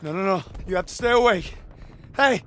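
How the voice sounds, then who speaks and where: A man's voice: high voice, animated, normal speed, fairly bright tone, a little hiss or hum. An actor playing a loyal, anxious young American infantryman in a war game, earnest and intense. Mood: panicked. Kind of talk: cinematic war game acting.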